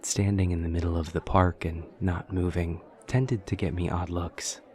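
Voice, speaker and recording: Man playing an awkward low-voiced demon classmate. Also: flat delivery, a little mellow, natural voice. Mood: eager, informative. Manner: halting, stilted, formal, over-literal. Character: awkward literal-minded male demon college student, earnest and curious. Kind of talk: roleplay audio drama acting.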